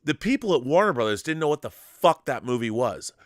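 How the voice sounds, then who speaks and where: A man's voice: mid-range voice, very animated, fairly quick, no room sound, clean studio sound. A man hosting a fan show, chatting casually and giving opinions in an emphatic, rambling, sweary way. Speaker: host of a film fan live show. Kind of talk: casual chat and opinionated commentary.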